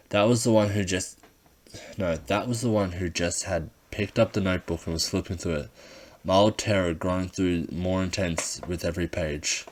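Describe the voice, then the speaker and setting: A man reading scripted fan fiction as an amateur audiobook narrator. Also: sits low.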